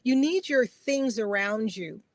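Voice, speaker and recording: A woman's voice: on the high side, animated, measured pace, no echo. A middle-aged woman from Guyana talking in an earnest, reflective way in a personal conversation, repeating phrases for emphasis. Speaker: podcast guest, family caregiver.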